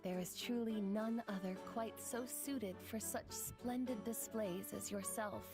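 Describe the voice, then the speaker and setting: A woman's voice: mid-range voice, steady tone, unhurried, music in the background, close to an everyday voice. A woman playing a young noblewoman mage in a video game, grandiose and theatrical, with formal archaic diction. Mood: meek, self-abasing. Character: haughty, theatrical young noblewoman mage, prone to abject self-abasement. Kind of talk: video game character acting.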